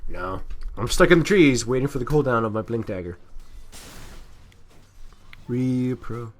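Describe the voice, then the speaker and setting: A man talks over a video game replay as an amateur commentator. His delivery is casual and rambling, with a self-deprecating streak. The voice sits at an ordinary middle pitch for a man. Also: animated, measured pace.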